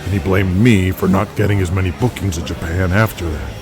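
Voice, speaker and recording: A man playing a calm, good-natured veteran pro-wrestler in an audio drama, speaking in a measured, conversational way. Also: sits low. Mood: frank recounting. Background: music.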